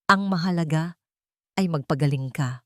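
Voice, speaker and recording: A woman narrator voicing a controlling mother, soft and guarded, in an audio love-story drama. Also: sits low, normal speed, no room sound, studio quality. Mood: soothing, dismissive. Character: controlling mother, outwardly tender, coldly menacing underneath.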